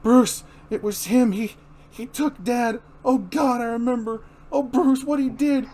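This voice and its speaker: Man putting on a high-pitched distraught young woman. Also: a little slow, warm tone. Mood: horrified. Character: distraught young injured woman, panicked and pleading. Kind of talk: amateur dramatic comic book reading.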